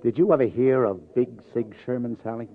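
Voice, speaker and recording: An actor playing a hard-boiled New York police lieutenant in a radio crime drama, clipped and wry. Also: male voice, medium pitch, brisk, lightly acted. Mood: brusque. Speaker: lead actor, police detective. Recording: tinny vintage radio broadcast.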